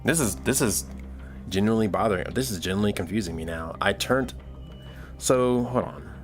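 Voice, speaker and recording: A man's voice: lowish voice, fairly quick, faint music behind. A man in his thirties chatting casually on a livestream, rambling and thinking aloud as he works. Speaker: live streamer making music. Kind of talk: casual livestream chat while working.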